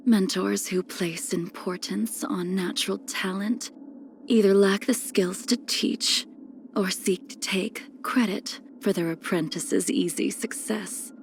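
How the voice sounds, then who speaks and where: A woman playing a cold, ageless, melancholy swordswoman in a video game, speaking in formal, solemn phrases that trail into breathy near-whispers. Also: medium pitch, slight background noise. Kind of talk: scripted video game character lines.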